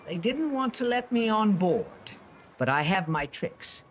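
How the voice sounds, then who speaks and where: A man's voice: high-pitched, sing-song, unhurried, slight background noise. A man voicing a determined, defiant female war correspondent in earnest, solemn first-person narration. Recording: voice-over under loud music.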